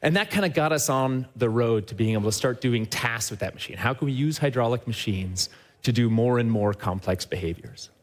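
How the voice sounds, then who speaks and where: A man who heads a company, giving a lively talk on a trade show stage and speaking straight to the audience, often tagging his points with a quick question. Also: mid-range voice. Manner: upbeat and enthusiastic, often checks in with a tag question; addresses the audience directly. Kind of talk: live conference presentation.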